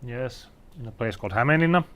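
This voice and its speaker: A Finnish man in his forties, a designer giving a casual talk with slides to an audience, halting and self-deprecating, clearing his throat often. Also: medium pitch, expressive, fairly bright tone. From Finland. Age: 40s. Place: event venue in front of an audience.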